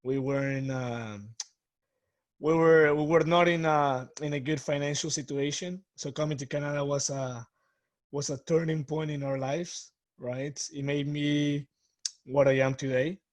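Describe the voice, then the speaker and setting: Man from Colombia, slightly high pitch, earnest and heartfelt interview answers. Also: steady tone, measured pace. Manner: earnest, grateful. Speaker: soccer coach.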